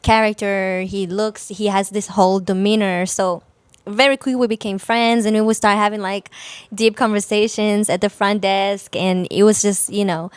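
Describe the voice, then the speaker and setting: A woman's voice: medium pitch, fairly even intonation, moderate pace, clear, resonant tone, no room sound. A woman from Israel, a native Hebrew speaker, telling her own story casually as a podcast guest. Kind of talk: casual interview chat, personal storytelling.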